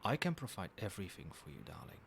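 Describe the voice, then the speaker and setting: A man playing a doting yet controlling, quietly menacing boyfriend in a soft-spoken ASMR roleplay, coaxing tenderly with pet names. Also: on the low side, quick pace. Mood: soothing, doting. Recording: steady background noise, night ambience. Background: rain and cricket sounds.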